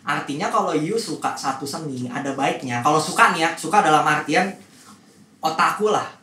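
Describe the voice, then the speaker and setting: A man's voice: on the high side, lively intonation, moderate pace, sharp tone. A man speaking as a blunt, opinionated host in a casual podcast discussion. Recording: roomy echo, distant mic, faint noise.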